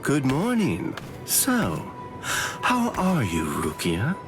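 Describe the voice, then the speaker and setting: A man's voice: mid-range voice, wildly swooping pitch, heavily acted. A man voicing a sly, taunting, falsely friendly swordsman captain in an anime game dub. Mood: mocking, playful. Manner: teasing, drawn-out, falsely polite. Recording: prominent music behind voice.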